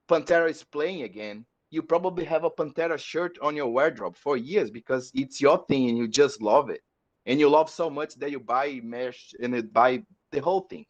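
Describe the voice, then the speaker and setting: A man from Brazil speaking English with a Brazilian accent, a metal musician chatting on a podcast, halting and repeating words as he searches for them. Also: mid-range voice. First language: Portuguese. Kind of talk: casual podcast chat. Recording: dull lo-fi audio.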